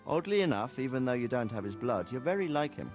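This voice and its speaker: Easygoing, rueful medieval nobleman father, lively intonation. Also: mid-range voice, fast, faint music behind. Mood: reflective. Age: middle-aged. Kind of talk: cinematic video game dialogue.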